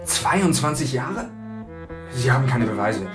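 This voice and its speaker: An actor playing a frightened, unsure young murder suspect in a radio crime drama, anxious and emotional. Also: male voice, mid-range voice, big pitch swings, a little slow, music in the background. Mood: desperate protest.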